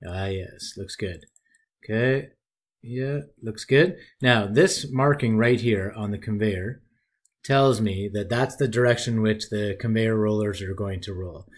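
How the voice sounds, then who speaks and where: A man narrating a screen-recorded software tutorial, talking through each step in a relaxed, folksy way. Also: fairly low pitch, a little slow.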